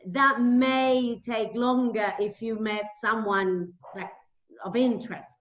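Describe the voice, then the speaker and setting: Woman, high voice, slow pace, hesitant interviewer, simple repeated phrases, echoey room. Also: fairly even intonation, a bit bright. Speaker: interviewer, English teacher. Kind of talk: informal interview over video call. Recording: dull, echoey call audio.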